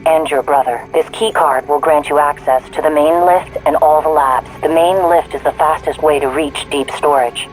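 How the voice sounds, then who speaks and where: Game AI operator male actor: slightly high, measured, matter-of-fact guide, light music bed. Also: steady tone, lightly acted, low-quality recording. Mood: composed, instructive. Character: composed artificial intelligence robot operator guiding the player. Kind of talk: video game guide dialogue.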